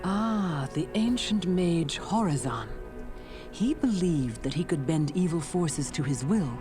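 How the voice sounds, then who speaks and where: An actress playing a solemn, wise woman who speaks of ancient lore in a fantasy game, measured and formal. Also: fairly low pitch, animated. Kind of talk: scripted fantasy game dialogue. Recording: loud music behind the voice.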